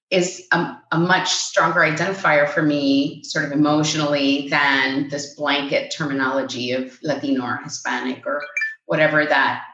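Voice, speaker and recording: Low-voiced woman in her late fifties. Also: a bit bright, echoey room, lo-fi sound. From Puerto Rico. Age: 50s. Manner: thoughtful, hesitant with many ums. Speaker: guest consultant. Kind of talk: casual panel conversation.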